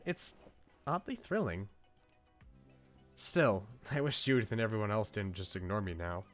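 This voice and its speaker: A man's voice: on the low side, sing-song, unhurried. Man putting on a wistful, lighter-voiced young woman. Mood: wistful, musing. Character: wistful, gentle young woman who paints alone. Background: game music.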